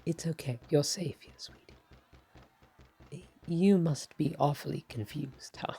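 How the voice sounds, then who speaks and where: A woman playing a bashful, doting god of love in an ASMR roleplay, speaking softly and breathily with a hesitant, tender, playful manner. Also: sits low, measured pace, heavily acted. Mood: reassuring, playful. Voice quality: soft, breathy, partly whispered. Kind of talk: ASMR roleplay spoken to the listener.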